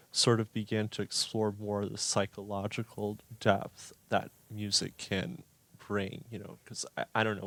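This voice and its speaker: A man's voice: fairly low pitch, a bit bright, dry recording. A young man from New York City, a pianist and music student, answers interview questions thoughtfully with hesitant ums in a radio studio.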